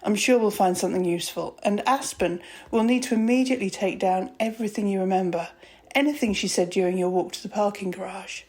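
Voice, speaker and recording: A woman playing a composed, caring and wry academic doctor in an audio drama, speaking in a proper, measured, teasing way. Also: medium pitch, a little mellow, natural voice.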